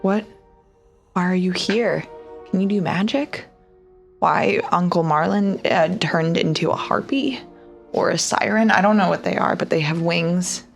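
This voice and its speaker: A woman plays a tabletop roleplaying game in a casual, conversational way. Her voice sits low and breaks into fry. She slips between acting and describing, with faint music behind her. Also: a little slow.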